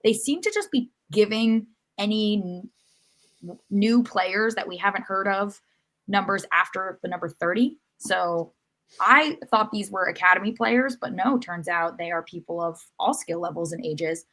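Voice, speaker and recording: A woman's voice: mid-range voice, moderate pace, pushed and bright, rough recording. A woman co-hosting a sports podcast, recapping matches in an informal, lightly joking conversational way. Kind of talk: casual match recap chat.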